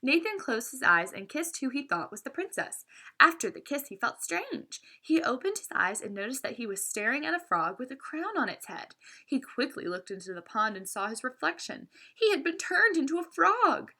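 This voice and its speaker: A woman's voice: sits high, normal speed. A woman reading a children's story aloud expressively, now and then stumbling and correcting herself. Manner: animated, with stumbles and self-corrections.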